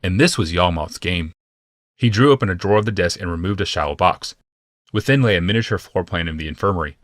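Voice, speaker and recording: A man's voice: sits low, quick pace, dry recording, studio quality. A man narrating fantasy fiction as a scripted, dramatic audiobook.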